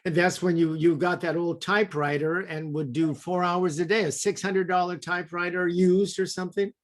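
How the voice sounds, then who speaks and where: A man's voice: high voice, level tone, moderate pace. Man hosting a talk over video call. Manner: brief interjections. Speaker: host. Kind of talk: casual interview.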